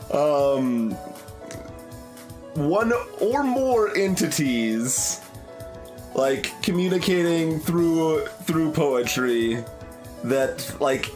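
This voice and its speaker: A man giving casual game commentary, rambling and tentative as he thinks aloud. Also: medium pitch. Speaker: let's play streamer.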